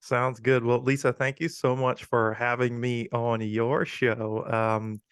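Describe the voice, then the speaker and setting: A man in his forties, from Guyana, talks through an interview in an easygoing, chatty way, pausing on plenty of ums. His voice is smooth and resonant. It sits close and dry, with no room sound around it. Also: mid-range voice, no echo. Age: 40s. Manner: relaxed, chatty, frequent ums. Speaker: podcast guest. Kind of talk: casual interview talk.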